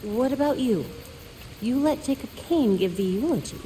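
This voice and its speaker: A woman's voice: fairly high pitch, animated, moderate pace, warm tone, slight background noise, lightly acted. A woman playing a level-headed young vigilante, direct and businesslike, in a video game. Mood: focused, subdued.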